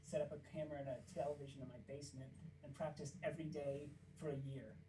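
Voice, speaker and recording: A man from Kansas with a squeaky voice, a puppeteer guest chatting casually and acting out stories. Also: quick pace, distant mic, noisy background. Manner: animated storytelling, acts out quotes. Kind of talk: casual interview chat.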